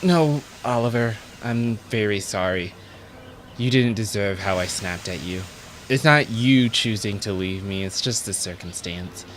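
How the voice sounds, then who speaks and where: A man's voice: lowish voice, unhurried, slight background noise. A man voicing a touchy old female railway coach. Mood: apologetic. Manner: sharp, resentful, then apologetic. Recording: music clearly behind.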